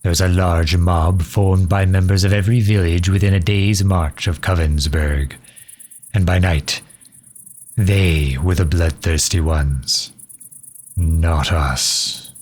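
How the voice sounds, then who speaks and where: An ancient, dignified vampire leader, acted by a man for an audio roleplay. His voice sits very low and he speaks slowly, in formal, measured phrases with a grave, solemn weight. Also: fairly even intonation, a little mellow. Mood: weary, grave. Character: centuries-old male vampire leader, solemn, dignified refugee. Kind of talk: scripted ASMR roleplay monologue.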